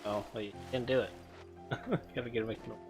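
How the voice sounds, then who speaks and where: A man streaming a game, chatting casually with relaxed, mumbled asides and frequent chuckles. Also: lowish voice, fast, music in the background. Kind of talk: casual gameplay commentary.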